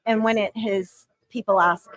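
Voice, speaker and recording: A woman's voice: mid-range voice, fairly even intonation, unhurried. A woman with a faded Australian accent in casual chat, laughing often and answering with lots of agreeing sounds.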